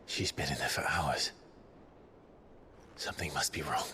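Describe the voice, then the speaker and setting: An actor playing a brooding, determined, self-sacrificing warrior hero in a video game, speaking with grave, earnest restraint. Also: male voice, very deep voice, measured pace, background noise. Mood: worried. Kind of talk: dramatic video game cutscene acting.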